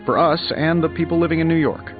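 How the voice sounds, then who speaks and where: A glib, cocky genius inventor hero from a video game, played by a man, tossing out sarcastic banter in a fairly high voice. The sound is slightly dull, with faint music behind. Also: fairly even intonation, fast talker, natural voice. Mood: breezy, cocky. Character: wisecracking, cocky male genius inventor superhero. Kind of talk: video game dialogue acting.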